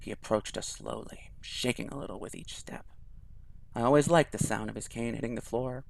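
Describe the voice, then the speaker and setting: A man reading a visual novel aloud in a quiet, even storytelling voice. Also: mid-range voice, normal speed, vocal fry, dry recording.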